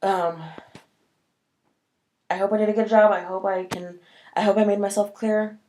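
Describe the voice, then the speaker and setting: A woman's voice: medium pitch, level tone, moderate pace, warm tone, slight background noise. A woman talking casually to camera in a vlog, sniffly from a cold and rambling with many fillers. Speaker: vlogger.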